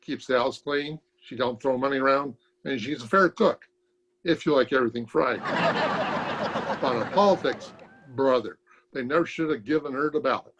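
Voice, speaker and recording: A man's voice: medium pitch, animated, normal speed, fairly bright tone, a little hiss or hum, natural voice, poor audio. A man playing a folksy, wisecracking, stubborn barber in an old-time radio comedy, recorded at home over a video call. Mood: droll. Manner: folksy, colloquial, chuckling drollery.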